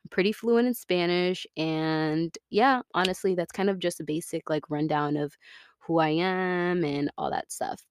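Low-voiced young American woman, chatting candidly. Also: unhurried, slight background noise. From Florida, United States. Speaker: podcast host. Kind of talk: casual personal podcast monologue.

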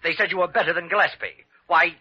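An actor playing a fussy, indignant older hypochondriac, complaining plaintively and melodramatically in an old-time radio drama. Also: male voice, high voice, steady tone, fast, breathy edge. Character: fussy, indignant older male hypochondriac patient, self-pitying. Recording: dull, lo-fi vintage radio recording.

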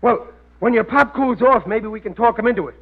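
High-voiced blustery American husband. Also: male voice, a little fast, husky. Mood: bewildered. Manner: blustery, ungrammatical everyman talk. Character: good-natured, gullible working-class husband, easily flustered. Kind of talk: 1940s radio comedy acting. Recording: muffled vintage radio recording.

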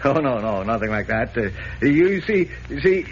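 An actor playing an elderly, despairing newspaper editor in a 1940s radio serial, stammering and sputtering in agitation. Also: male voice, medium pitch, big pitch swings, normal speed, close to an everyday voice. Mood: flustered. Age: older. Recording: muffled, noisy old radio recording.

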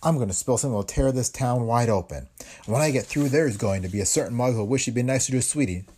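A man's voice: medium pitch, fast, dark tone, husky, voice pushed up, close to an everyday voice. A man putting on a brassy gangland moll. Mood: conspiratorial. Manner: slangy tough-girl talk, keeps saying dearie. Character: brassy streetwise woman tipster, gangster's jilted sweetheart.

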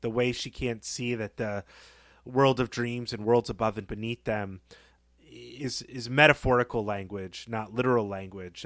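A man co-hosting a podcast, discussing literature conversationally in a reflective, measured way. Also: medium pitch, fairly bright tone, no echo, clean studio sound. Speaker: podcast co-host.

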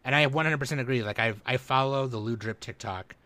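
A man's voice: mid-range voice, quick pace, sharp tone, dry recording. A man hosting a podcast in casual chat, talking in profane, bro-heavy slang. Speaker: podcast host.

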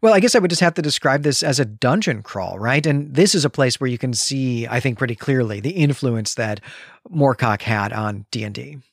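A man with a mid-pitched voice talks as a podcast co-host. He is measured and articulate, explaining a story as he goes. The sound is dry, with very little room in it. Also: expressive, gravelly, no room sound. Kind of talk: book discussion with story recap.

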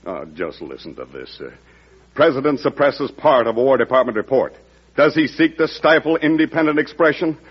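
A loyal, worried presidential aide in vintage radio drama. He has a husky, medium-pitched voice and sounds deferential yet insistent. The recording is muffled. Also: animated, normal speed. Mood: frustrated. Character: loyal, anxious male American presidential aide. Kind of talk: classic radio drama acting. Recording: muffled old radio recording, faint music.

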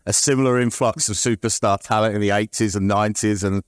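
A man's voice: fairly low pitch, steady tone, fast talker, no room sound, studio quality. A middle-aged man, moderating a panel on a conference stage in a loose, conversational way. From United Kingdom.

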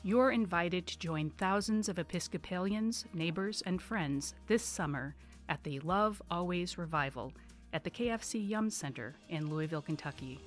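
A woman's voice: lowish voice, moderate pace. A woman reading a scripted promotional announcement as an announcer. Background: faint music.

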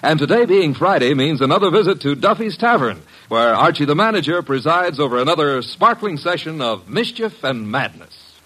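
A man's voice: fairly high pitch, sing-song, normal speed, bright, forceful tone, a little hiss or hum, poor audio. A man announcing a 1950s radio show in a dramatic, scripted style.

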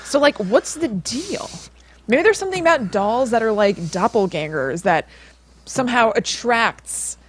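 A woman's voice: on the high side, expressive, a little slow, breathy edge. A woman co-hosting a podcast, telling stories in a chatty, informal way peppered with "like". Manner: chatty, informal, frequent use of "like". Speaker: podcast co-host. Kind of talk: casual podcast storytelling.

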